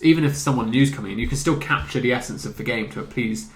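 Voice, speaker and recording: A man's voice: medium pitch, quick pace. A man co-hosting a podcast, talking informally in a casual discussion and feeling his way through his phrasing. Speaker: podcast co-host. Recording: echoey room.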